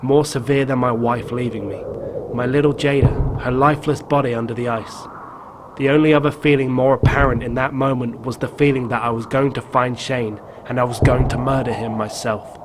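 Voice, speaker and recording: A man's voice: mid-range voice, fairly even intonation, fairly quick, background noise, close to an everyday voice. A man playing a haunted, guilt-ridden middle-aged father narrating a horror story in a game. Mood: grim, haunted. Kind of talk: dramatic first-person story narration.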